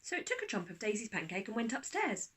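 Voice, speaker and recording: A woman narrating a children's storybook like a teacher reading aloud, expressive, with dramatic pauses. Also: on the high side, husky.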